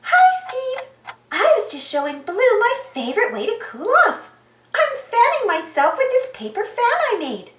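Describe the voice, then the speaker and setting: A woman's voice: very high voice, huge pitch swings, a little slow, fairly bright tone, slight background noise, pitched above the performer's own voice, full character voice. A woman voicing a friendly, chirpy talking household thing in a children's storybook, bubbly and sing-song. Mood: cheerful, helpful. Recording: roomy echo.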